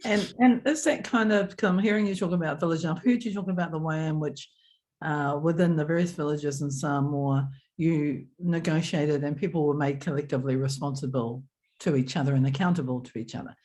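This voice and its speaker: Low-pitched New woman interviewer. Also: fairly even intonation, a little fast, darkish tone. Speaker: webinar host, interviewer. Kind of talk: conversational interviewing.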